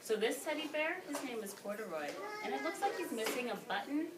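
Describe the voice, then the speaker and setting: A woman's voice: sits high, big pitch swings, a little fast. A woman teacher reading aloud to young children in an interactive way, questioning and instructing them as she goes. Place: classroom with children. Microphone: phone camera at a distance. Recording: distant, echoey, very noisy phone recording.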